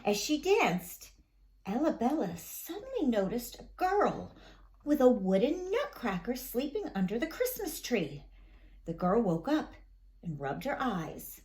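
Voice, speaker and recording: A woman reads a children's story in a high voice at a slow pace. Her narration is dramatic and careful, with lots of rise and fall in pitch. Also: wildly swooping pitch, a little mellow.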